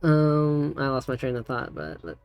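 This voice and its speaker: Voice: medium pitch, big pitch swings, normal speed, clean tone, muffled recording. A streamer chatting casually and hesitantly on a livestream, often trailing off. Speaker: streamer co-hosting a game tutorial. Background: music.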